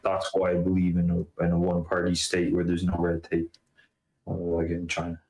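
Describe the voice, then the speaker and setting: An Irish man with a very deep, flat voice talks in a loose, rambling way, leaning on "like" and "uh" as he builds his point. His voice carries a roomy echo, as if he is recorded in a bare space. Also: moderate pace. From Ireland. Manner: rambling, heavy filler words. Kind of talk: casual online debate chat. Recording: roomy echoey sound.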